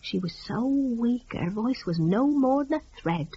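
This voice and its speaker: A woman's voice: a little high, lively intonation, unhurried, muffled recording, slight background noise. A woman telling a story dramatically, in a folksy, conversational rustic idiom.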